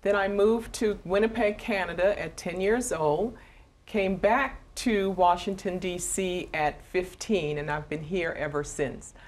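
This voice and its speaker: A woman in her forties, from Trinidad and Tobago, speaks calmly to the camera as a missing persons advocate. Her voice is low-set and her delivery measured. Recorded with a lapel mic on a studio stage. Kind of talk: direct-to-camera personal talk.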